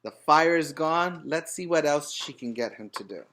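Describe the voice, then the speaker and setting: A man's voice: fairly high pitch, expressive, normal speed, fairly bright tone. A man reading a storybook aloud as a preschool teacher, with simple, instructive asides to the children.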